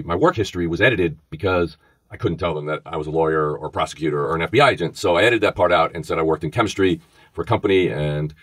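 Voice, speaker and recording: A middle-aged man with a strong Bronx New York accent tells his story with animated energy. His voice sits very low and sounds close and dry. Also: no room sound. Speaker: former FBI agent, podcast co-host. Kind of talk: casual storytelling conversation.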